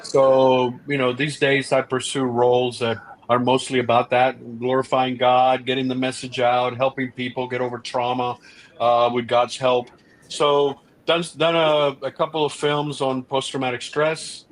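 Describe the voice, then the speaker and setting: A middle-aged man speaks as a podcast guest in an earnest, animated way. He restarts often and leans on fillers as he explains his views. His voice sits at an ordinary middle pitch. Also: a little slow, a little hiss or hum, rough recording. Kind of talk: casual interview chat.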